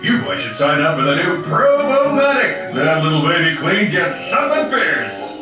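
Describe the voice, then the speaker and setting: A man's voice: on the high side, sing-song, normal speed. An actor playing a vain washed-up superhero turned salesman in a video game, pompous, hammy and theatrical. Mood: chummy, oblivious. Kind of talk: cartoonish video game cutscene acting. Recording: echoey, distant game audio with music.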